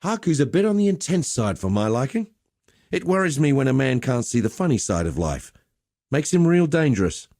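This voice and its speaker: An actor playing a cheerful, rough-and-ready arena fight master in a video game, chatty and blokey. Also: male voice, medium pitch, big pitch swings, brisk, a little mellow, no room sound, studio quality. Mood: wry, chatty. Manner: chatty, cocky, blokey storytelling with colloquial swearing. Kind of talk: video game character dialogue.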